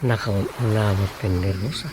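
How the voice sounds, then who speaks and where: An actor with an Ilocano Filipino accent playing an easygoing, persuasive husband in a comedy radio drama, chatty and coaxing. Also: male voice, lowish voice, unhurried, noisy background, close to an everyday voice. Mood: persuasive, cheerful.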